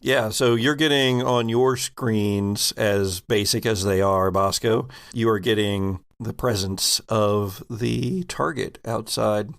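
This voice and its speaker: A man narrating a tabletop game as game master in a casual, halting way, thinking aloud as he goes. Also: fairly low pitch, gravelly, clear, resonant tone.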